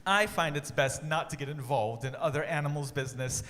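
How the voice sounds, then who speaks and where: Brief: a man playing a calm, noncommittal giraffe, unhurried, faint background hum. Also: fairly high pitch, natural voice. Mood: aloof. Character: aloof detached giraffe. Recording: echoey room with faint ambient noise.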